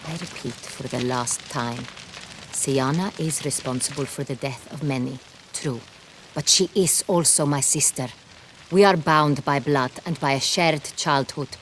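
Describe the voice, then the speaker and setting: An actress playing a proud, imperious duchess in a fantasy game, with a formal, commanding manner. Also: female voice, extremely low pitch, measured pace, noisy background. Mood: cold, commanding. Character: imperious, proud duchess, protective of her sister. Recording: loud game music behind.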